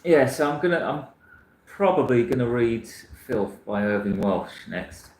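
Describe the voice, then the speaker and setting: A man's voice: mid-range voice, animated, measured pace, darkish tone, reverberant, low-quality recording. A man from South London chatting casually about books, rambling and frank, often restarting his sentences. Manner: rambling, self-correcting, frank. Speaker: book channel host. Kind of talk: casual chat reading viewer comments.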